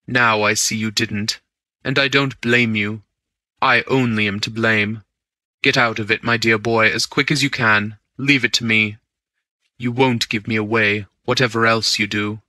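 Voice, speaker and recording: A man reading an audiobook, voicing a cool, quietly amused gentleman burglar who speaks low, hushed and unhurried. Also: no echo. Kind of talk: audiobook character voice.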